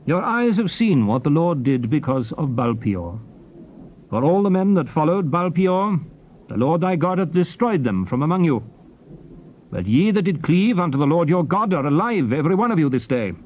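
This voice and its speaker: A man's voice: a little high, very animated, moderate pace, highs cut off. An actor playing a solemn prophet and leader exhorting his people, declaiming archaic scripture in a formal, classical stage style. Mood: earnest, exhorting. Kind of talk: dramatized scripture reading, classical stage delivery. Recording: noisy old cassette tape recording.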